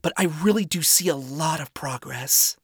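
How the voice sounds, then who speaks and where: A man reading the lines of a kind, earnest young woman in a soft, gentle manner. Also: on the high side, moderate pace, husky, dry recording, clean studio sound.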